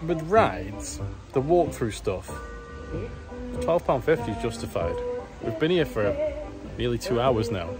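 A British man talks in a casual, offhand vlog style while walking around outdoors with a handheld camera. His pitch sits in the middle, and music plays clearly behind him. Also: animated, a little slow, pauses often, poor audio. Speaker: vlogger. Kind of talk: casual walkaround vlog chat. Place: outdoor family park, walking in woods.

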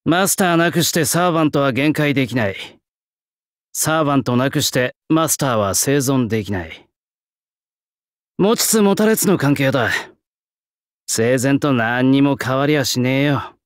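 An actor playing a proud young male swordsmith spirit in an anime-style game, talking in a rough, blunt, old-fashioned working-class way. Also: medium pitch, expressive, moderate pace, long pauses, no echo, studio quality, lightly acted. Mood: confident, casual. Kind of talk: anime-style mobile game character lines.

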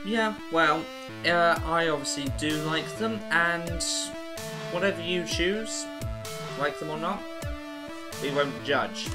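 A young adult man chatting casually as a guest, with a halting, hesitant delivery. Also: fairly high pitch, over music. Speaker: guest co-commentator. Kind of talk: casual chat.

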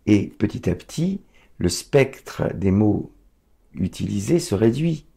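A middle-aged man with a very deep voice, rough and raspy with a gravelly edge. He talks in an emphatic, declamatory way, pausing to search for words. Recorded up close on a lapel mic in a small room. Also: sing-song, mellow. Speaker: guest. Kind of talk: conversational interview. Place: small indoor room, seated in armchair.

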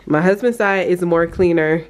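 A woman's voice: on the low side, normal speed. A young adult woman, chatting casually and informally for a vlog in a bedroom at home. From United States. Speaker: vlogger.

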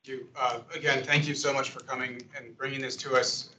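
A man's voice: medium pitch, flat delivery, a little fast, bright, forceful tone, slight background noise. A man chairing a public meeting in a conference room, courteous and agreeable, with some restarts. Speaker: commission chair. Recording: echoey room audio from a distance.

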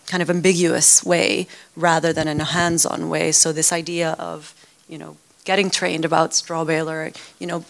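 A woman is speaking unscripted on a discussion panel. Her voice sits fairly low with plenty of fry and little melodic movement, and she qualifies her points as she explains them.

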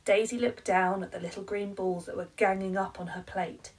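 A woman's voice: medium pitch, steady tone, normal speed, far from the mic. A woman, reading a picture book expressively to young children like a primary teacher.

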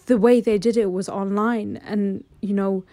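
A woman's voice: mid-range voice, fairly even intonation, a little slow, dark tone. A woman speaking in a thoughtful, conversational way into a studio mic in a room by large windows. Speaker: interviewee. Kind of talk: reflective interview. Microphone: studio mic on boom arm.

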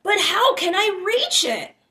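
An anxious little elephant calf from a picture book, voiced by a woman reading aloud. The voice is very high and swoops around. Its questions are plaintive and pleading, full of longing for its mother. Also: very animated, unhurried, bright, forceful tone, reverberant, exaggerated voice. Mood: plaintive, hopeful. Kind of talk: expressive children's storybook read-aloud.

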